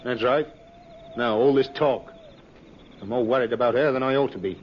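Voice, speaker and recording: Gruff rural farmer male actor, mid-pitched, guarded and terse, dull old radio sound. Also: moderate pace, natural voice. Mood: guarded, uneasy. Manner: curt, suspicious. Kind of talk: old-time radio acting. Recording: muffled, noisy old radio recording.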